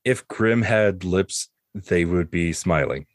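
A man's voice: low voice, slow, no room sound, studio quality. A man narrating as game master, improvising the scenes of a tabletop roleplaying game.

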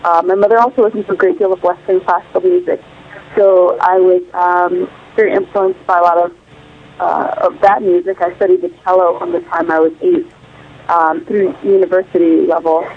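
A woman's voice: fairly low pitch, fairly even intonation, normal speed. A woman singer interviewed by phone, from Illinois, speaking thoughtfully and searching for words with frequent fillers. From Illinois, United States. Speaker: guest singer. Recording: tinny phone line.

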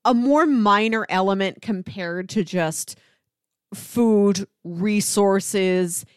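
A woman with a mid-range voice co-hosts a casual review podcast. She speaks slowly and precisely, and the sound is dry, with no room echo. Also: medium pitch, clean tone. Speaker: podcast co-host. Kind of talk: casual review show chat.

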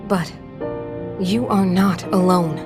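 An actress playing a gentle, steadfast royal woman counselling a king in a video game. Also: lowish voice, steady tone, slow pace. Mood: earnest, reassuring. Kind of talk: video game cutscene acting. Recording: game audio under loud music.

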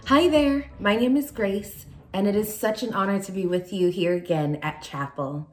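A woman's voice: medium pitch, moderate pace, faint music behind. A young adult woman youth pastor from Nigeria, raised in California, giving an earnest chapel sermon with prayer and personal stories.

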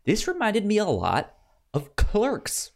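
A man co-hosting a podcast in casual film chat, halting and hesitant, with a stutter that repeats sounds and words. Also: high-pitched, huge pitch swings, gravelly. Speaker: podcast co-host.

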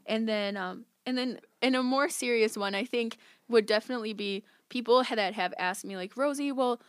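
A woman's voice: fairly high pitch, moderate pace, clear, resonant tone, no room sound, studio quality. A young woman whose first language is Spanish, a podcast guest talking casually, chatty and giggly with many filler words. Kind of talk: casual conversation.

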